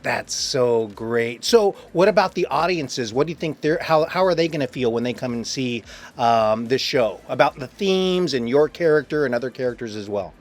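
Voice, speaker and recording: A man's voice: medium pitch, lively intonation, moderate pace, faint music behind. A man interviewing someone casually, with an enthusiastic, encouraging way of talking.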